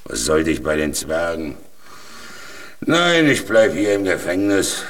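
Resigned old tramp, low gravelly-husky voice, slightly off mic, plain-spoken, children's radio drama. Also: male voice, very animated, slow, a bit bright, far from the mic, exaggerated voice. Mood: advising. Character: resigned, weary old male vagabond in a jail cell. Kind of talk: children's radio play acting.

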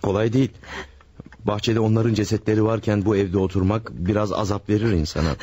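An actor playing a farmer husband who hides a murder and calmly soothes his new wife in a radio play. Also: fairly low pitch, fairly quick, highs cut off. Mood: soothing. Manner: soothing, reassuring, smooth-talking. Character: scheming farmer husband, secretly a killer. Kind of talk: classic radio drama acting.

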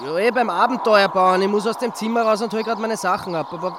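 An Austrian actor playing an evasive, exasperated amateur sleuth in a naturalistic radio drama. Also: male voice, high voice, quick pace, background noise, lightly acted. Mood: wary.

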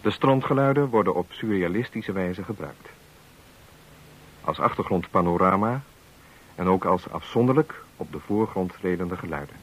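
A man giving a formal, measured, solemn scripted introduction to a radio play as its announcer. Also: deep voice, expressive, poor audio. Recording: hissy old radio recording.

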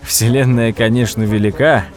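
An actor playing a nephew who tells his story in expressive, dramatised first-person narration for an audio drama. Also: medium pitch, moderate pace, faint music behind, lightly acted. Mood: dramatic. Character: storytelling nephew recounting his odd uncle's troubles. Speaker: narrator and character.

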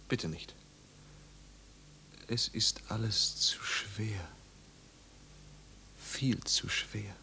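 An actor playing a tormented, gentle but desperate young man sinking into madness, halting and feverish, often slipping into whispers, in 1950s radio drama style. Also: lowish voice, gravelly, full character voice. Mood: anguished, oppressed. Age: young adult. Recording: noisy, humming 1950s radio recording.

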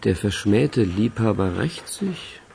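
Gravelly, wry police colleague. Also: male voice, lowish voice, animated, slow pace, a little mellow, a little hiss or hum, heavily acted. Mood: wry, probing. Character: wry, sceptical male police colleague asking questions. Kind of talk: German radio play acting.

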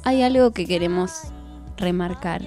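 A woman's voice: on the low side, a little slow, dark tone. An Argentine woman in her twenties hosting a radio show, talking conversationally with poetic flourishes. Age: 20s. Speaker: radio host. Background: music.

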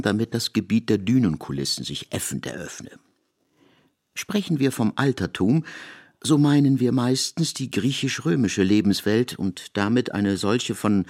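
A man narrating scripted literary prose for a radio production in an expressive, elevated storytelling manner, recorded in a studio. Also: on the low side.

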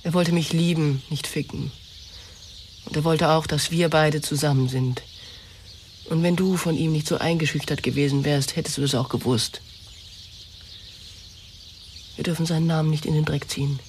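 A woman's voice: sits low, fairly even intonation, brisk, darkish tone, recorded from a distance, a little hiss or hum, natural voice. An actress in a German radio drama playing a wounded young woman, pleading and confessing with insistent emotion. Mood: pleading, defiant.

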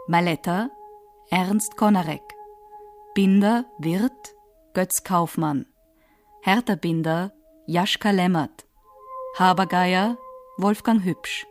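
Slow, low-voiced woman announcer. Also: a little mellow. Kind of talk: formal radio credits announcement.

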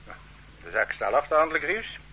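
Brief: condescending, threatening state interrogator, old radio play, muffled sound. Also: male voice, on the high side, very animated, a little fast, slightly rough, exaggerated voice. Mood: stern. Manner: bullying, condescending. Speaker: official interrogating a suspect. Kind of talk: radio drama acting. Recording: dull old radio recording with faint hum.

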